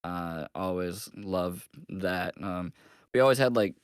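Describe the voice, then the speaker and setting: A young man co-hosting a casual podcast, relaxed and rambling, a little nasal from a cold. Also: deep voice, slow pace, smooth, dry recording, clean studio sound. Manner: relaxed, rambling with many fillers. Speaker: co-host. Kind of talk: casual chat.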